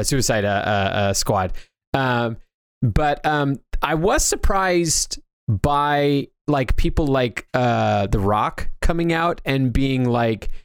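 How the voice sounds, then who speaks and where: A man's voice: medium pitch, dry recording, clean studio sound. An American man co-hosting a podcast in casual chat, with a hesitant, backtracking way of talking. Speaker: podcast co-host and producer.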